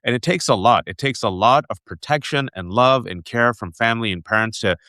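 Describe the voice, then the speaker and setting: A man in his forties from the United States, hosting an interview and telling animated personal stories with lots of asides. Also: mid-range voice, fairly quick, dry recording, clean studio sound. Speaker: host. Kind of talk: conversational interview.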